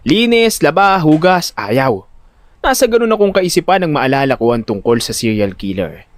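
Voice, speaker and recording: A man's voice: big pitch swings, normal speed, no echo. A man playing an anxious, protective older brother who tells his suspense story in first person, with dramatic narration and acted dialogue. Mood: tense. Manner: dramatic storytelling, exasperated and high-pitched in dialogue.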